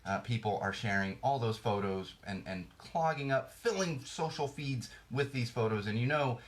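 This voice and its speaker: A man's voice: medium pitch, normal speed. A man hosting a podcast, ranting bluntly about current events, his voice slightly worn as he loses it. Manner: blunt, ranting, frequent restarts. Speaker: podcast host. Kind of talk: ranting political commentary.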